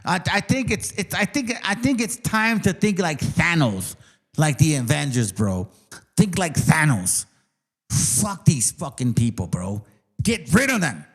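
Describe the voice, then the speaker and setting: A man ranting aggressively and profanely, repeating himself, his voice swinging between loud outbursts and mutters. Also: a little high, sing-song, measured pace, slightly rough. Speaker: ranting commentator. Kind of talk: profane rant.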